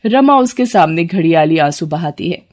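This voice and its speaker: A woman's voice: fairly low pitch, lively intonation, normal speed, darkish tone. A woman storyteller reading a scripted family moral tale as narrator.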